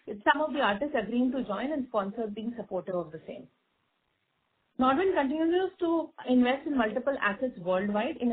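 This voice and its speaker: A woman with an Indian accent formally reading out a scripted financial report as a company executive, stumbling now and then over the figures. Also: a little high. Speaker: company executive presenting results. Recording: tinny, band-limited conference phone line.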